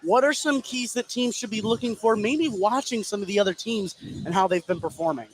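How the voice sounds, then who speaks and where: A man hosting and calling a live high school basketball game as play-by-play commentator. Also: brisk, poor audio.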